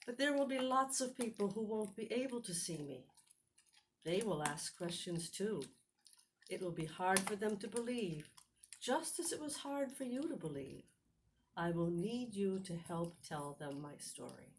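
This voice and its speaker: Woman playing kind patient holy man, gentle, deliberate, reassuring, children's storybook reading, noisy recording. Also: mid-range voice, expressive. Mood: patient, loving. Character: Jesus, kind patient male teacher, reassuring.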